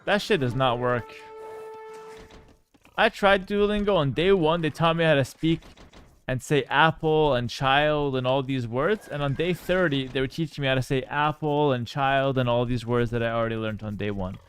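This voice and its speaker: A man talks loosely to his viewers during a live game stream. He jokes and swears casually and answers chat messages as they come in. His pitch is in the middle range for a man. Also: normal speed, smooth. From Canada. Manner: laid-back, joking, swears casually, reads chat aloud.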